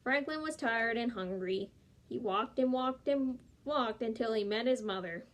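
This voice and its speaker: Young woman storyteller, gentle, expressive, fairly high voice. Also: unhurried. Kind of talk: reading a picture book aloud.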